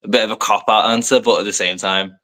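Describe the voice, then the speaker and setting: A young man from Liverpool with a soft English accent and a slight American tinge, a wrestler chatting loosely as a guest, full of fillers and self-deprecating asides. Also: fairly low pitch, steady tone, fast talker. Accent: soft English, light Americanisms. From Liverpool, England. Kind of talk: casual podcast interview.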